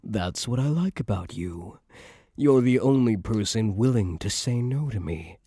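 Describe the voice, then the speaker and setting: Male actor as young mafia heir, husky, unhurried, smug, menacing yet doting, close dry ASMR roleplay. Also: lowish voice, animated, dark tone, no echo. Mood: teasing, affectionate. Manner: slow, smug, tough-guy slang with tender pet names. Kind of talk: intimate ASMR boyfriend roleplay.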